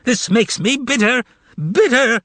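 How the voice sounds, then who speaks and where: A man's voice: mid-range voice, huge pitch swings, measured pace, no echo. A man voicing a theatrical male trickster and former choirmaster, with a thin, tremulous voice and a wailing, sarcastic harangue. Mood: indignant.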